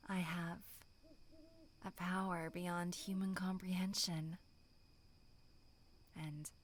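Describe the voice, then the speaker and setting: Woman as a slow, hushed, sinister monster woman. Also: on the low side, slight background noise. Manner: slow, hushed, half-whispered, savoring taunts. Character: sinister, seductive female monster in human skin, vengeful and amused. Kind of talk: horror ASMR roleplay.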